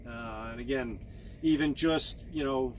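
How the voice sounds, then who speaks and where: Fifties trucker guy, flat monotone, lots of uh and repeats, mid pitch, faint hum behind. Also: rough recording. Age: 50s. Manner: monotone, halting with many fillers and repeats. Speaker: truck driver and vlogger. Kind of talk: casual talk to camera.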